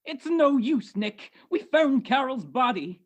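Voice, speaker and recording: A woman's voice: sits high, big pitch swings, unhurried, full character voice. A woman playing a suspicious old male police sergeant who talks in needling tough-guy slang in a radio detective play. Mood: accusing. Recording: muffled video-call recording.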